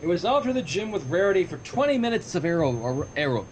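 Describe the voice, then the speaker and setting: A man's voice: high-pitched, very animated, quick pace, a little hiss or hum, poor audio. A man reading a story aloud on a livestream and breaking off for casual asides. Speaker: reader of fan fiction on a livestream.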